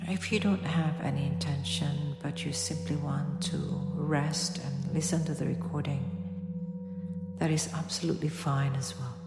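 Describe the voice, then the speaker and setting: Low-voiced woman guiding meditation in long reverb. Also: fairly even intonation, creaky. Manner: soft, soothing, unhurried instruction with long pauses. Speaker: guided meditation teacher. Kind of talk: guided yoga nidra meditation. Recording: echoey long reverb, music behind.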